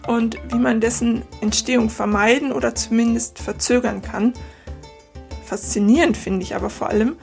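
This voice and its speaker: A woman's voice: a little high, gravelly. A woman with a German accent hosting a podcast, reading a scripted intro and then chatting with her guest. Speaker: podcast host, innovation coach.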